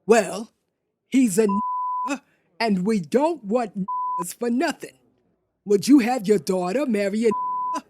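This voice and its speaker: A woman's voice: a little high, huge pitch swings, takes it slowly, pauses often, darkish tone, husky, no echo, clean studio sound, full character voice. A woman performing a hostile, stubborn workman in a radio drama, blunt and contemptuous. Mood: angry refusal.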